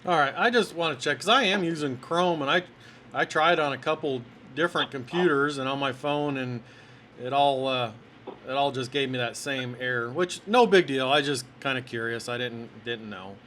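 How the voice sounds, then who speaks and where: A man's voice: medium pitch, normal speed, fairly bright tone. Man in his fifties, noisy recording. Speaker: host of a YouTube channel. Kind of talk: casual unscripted talk, intro and phone interview.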